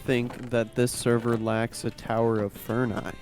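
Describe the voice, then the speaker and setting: A man's voice: mid-range voice, completely flat delivery, a little slow, darkish tone, soft background music. A young man co-hosting a casual gaming chat, dry and teasing. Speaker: podcast co-host.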